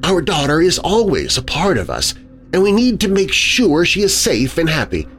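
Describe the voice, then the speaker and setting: A man's voice: a little high, wildly swooping pitch, normal speed, fairly bright tone, faint music behind, pitched above the performer's own voice. A man voicing a coldly deranged wife who soothes and sneers with hissing menace in a horror story. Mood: falsely soothing.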